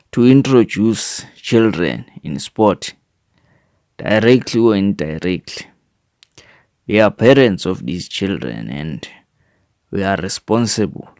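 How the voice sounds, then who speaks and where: A man with a South African accent reading a prepared manifesto in a formal, deliberate manner, occasionally stumbling over a word. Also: fairly low pitch, a little mellow, no echo, clean studio sound. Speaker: reader of a sport development framework.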